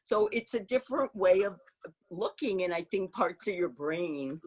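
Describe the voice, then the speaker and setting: A woman's voice: lowish voice, expressive, normal speed, darkish tone, slightly rough, rough recording. A woman from Israel who moved to New York as a child, hosting a casual interview in an effusive way, often repeating phrases for emphasis. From Israel, moved to New York at age four. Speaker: storytime host.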